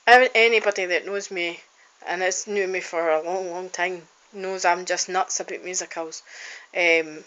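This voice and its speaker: A woman's voice: fairly low pitch, bright, forceful tone, poor audio. A woman, a singer-songwriter and college student, talks in a heartfelt, rambling way to a phone camera for her video diary, with frequent ums and restarts. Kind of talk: personal video diary, casual talk to camera.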